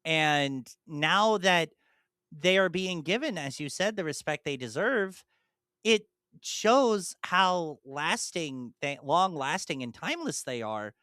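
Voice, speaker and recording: A man's voice: fairly high pitch, animated, takes it slowly, bright, forceful tone, clean tone, no room sound, studio quality. A young man co-hosting a podcast, talking in an enthusiastic, rambling way with frequent restarts. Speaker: podcast co-host. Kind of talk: casual chat.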